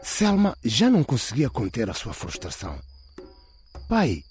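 A man's voice: mid-range voice, huge pitch swings, normal speed, darkish tone. A man reading a scripted radio drama as its dramatic narrator. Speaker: audiobook narrator.

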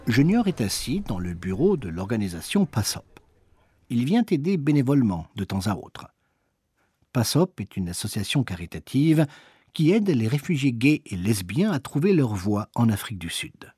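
A man's voice: medium pitch, sing-song, normal speed, warm tone, breathy edge, no echo, studio quality. A man narrating a reportage from a prepared script. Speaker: documentary narrator.

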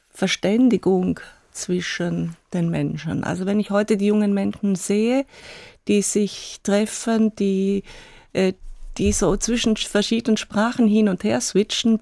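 A woman, chair of a teachers' association, giving measured interview answers in long flowing sentences. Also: mid-range voice, gravelly, mellow, dry recording, studio quality.

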